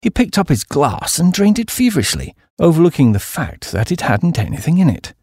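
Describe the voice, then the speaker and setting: British man, audiobook narration, comic storytelling, husky, wide swoops of intonation, dry close sound. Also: on the high side, sing-song, a little fast, darkish tone, no room sound.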